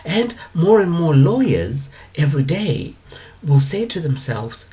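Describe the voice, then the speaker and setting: Calm man giving an animated spiritual lecture. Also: fairly high pitch. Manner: calm, explanatory, with drawn-out pauses. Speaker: spiritual teacher answering questions. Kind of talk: monologue lecture.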